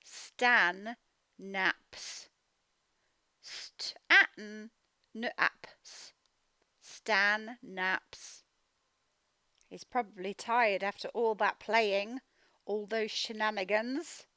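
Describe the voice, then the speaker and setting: A woman teacher giving a children's read-aloud phonics lesson, speaking in an animated, emphatic, instructional way and sounding out words. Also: medium pitch, extremely slow, pauses often, no echo. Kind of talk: children's read-aloud lesson, explaining directly to young learners.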